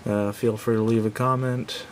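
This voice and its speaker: A man giving a casual, unscripted product review in a halting, self-doubting way, recorded indoors at a tabletop on a camera microphone. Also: little pitch movement. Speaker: gadget reviewer. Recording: clear background noise with low rumble.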